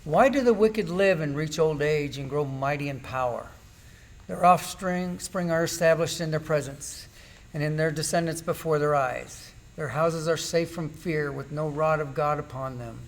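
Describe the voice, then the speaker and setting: A man's voice: fairly high pitch, moderate pace, lightly acted. A man playing an anguished, bitter suffering man in an amateur church Bible drama, reciting in a declamatory way. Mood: bitter, defiant.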